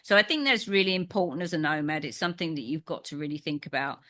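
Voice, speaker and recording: A woman in her fifties, a podcast guest who tells stories in a lively way and acts out her own reactions. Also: low-pitched, fast talker. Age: 50s. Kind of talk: casual conversation.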